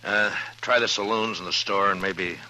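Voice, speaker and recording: Frontier marshal for radio western: lowish voice, steady, plainspoken authority, noisy vintage recording. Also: male voice. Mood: concerned. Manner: plainspoken, halting, quietly authoritative. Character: steady, concerned frontier lawman. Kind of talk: old-time radio western acting.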